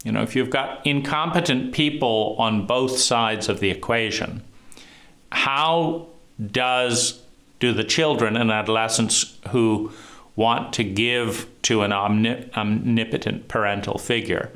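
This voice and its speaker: A man with a deep voice giving a reflective monologue, talking slowly and deliberately with frequent pauses and fillers. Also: a bit bright. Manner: slow, deliberate, pausing often with fillers. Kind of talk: reflective monologue on trauma and psychology.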